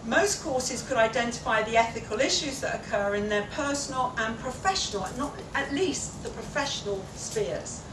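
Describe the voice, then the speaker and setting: A woman delivering a conference keynote speech in a large lecture room, explaining at a measured pace with occasional fillers. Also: fairly high pitch. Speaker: keynote speaker. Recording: echoey room, distant mic, noisy.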